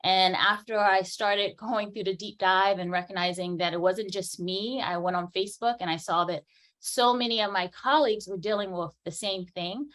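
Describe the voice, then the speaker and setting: A woman in her forties, a dental hygienist, giving an earnest, animated conference talk and laughing often. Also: medium pitch, normal speed.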